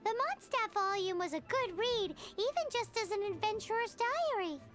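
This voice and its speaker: A tiny squeaky fairy companion. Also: lively intonation, moderate pace. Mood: chirpy. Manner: chirpy, blunt. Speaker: game character.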